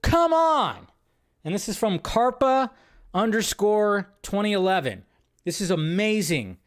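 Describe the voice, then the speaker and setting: A man hosting a casual live commentary show, excitable and chatty, with frequent fillers, restarts and mild swearing. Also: high-pitched, measured pace. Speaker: show host.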